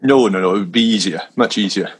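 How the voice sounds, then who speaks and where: A man's voice: fairly low pitch, fast, slightly rough. A man raised in Wales, a minister, talking casually and hesitantly, with frequent restarts and small laughs. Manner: hesitant, many restarts, self-deprecating laughs. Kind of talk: casual conversation. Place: London.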